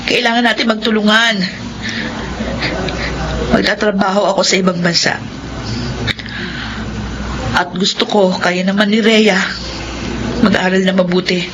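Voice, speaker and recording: An actress playing a determined, grieving twenty-year-old orphaned eldest sister in an emotional Filipino radio drama. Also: female voice, mid-range voice, steady tone, a little slow, long pauses, close to an everyday voice. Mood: resolute, tearful. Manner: earnest, emotional. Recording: noisy radio drama track.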